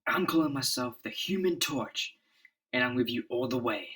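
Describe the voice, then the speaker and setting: A man voicing an excitable, hot-headed young superhero in a dramatic comic-book reading. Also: mid-range voice, normal speed, distant mic, lightly acted. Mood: eager. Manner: excited exclamations.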